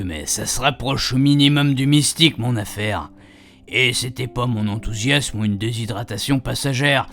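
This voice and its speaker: A man acting as an older retired husband in a radio play, proud and talkative. He rambles in colloquial, confiding asides to his audience, at an ordinary male pitch, with music underneath. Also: gravelly, a bit bright. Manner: colloquial, digressive, addressing the reader directly. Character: chatty, proud retired husband besotted with his camper van. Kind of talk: radio fiction monologue, rambling and conversational.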